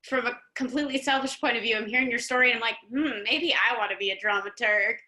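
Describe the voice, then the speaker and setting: Bright-voiced woman interviewer, echoey. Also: on the high side, quick pace. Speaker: interviewer, host. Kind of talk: casual chat interview. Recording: echoey room sound.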